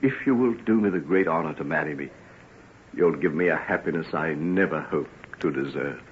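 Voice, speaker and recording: An actor playing a troubled, remorseful gentleman doctor, earnest and theatrical, in an old-time radio drama. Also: rumbling low, moderate pace. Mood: solemn, grateful. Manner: earnest, theatrical, formal phrasing. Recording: muffled, noisy old radio recording.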